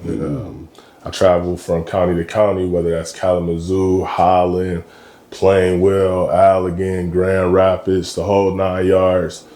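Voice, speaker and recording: A very low, dark-voiced man in his thirties from Michigan chats easily as a podcast guest. He talks in a relaxed, slangy way, dropping frequent ums. The sound bounces around an echoey room. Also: a little slow. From Michigan, USA. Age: 30s. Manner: laid-back, slangy, lots of ums. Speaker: guest, college basketball coach. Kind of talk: casual interview chat.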